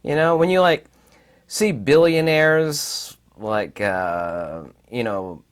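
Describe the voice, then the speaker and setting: A man with a raspy, hoarse voice while recovering from illness, giving rambling, emphatic commentary with many fillers. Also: medium pitch, takes it slowly. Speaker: channel host. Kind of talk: casual commentary to camera.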